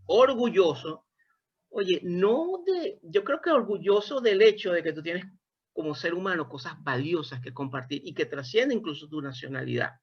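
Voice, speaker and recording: A Venezuelan man interviewing a guest, speaking in a thoughtful, expansive way and often restarting his phrases. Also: sits high. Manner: thoughtful, expansive, self-correcting. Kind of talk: reflective interview conversation. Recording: dull lo-fi sound.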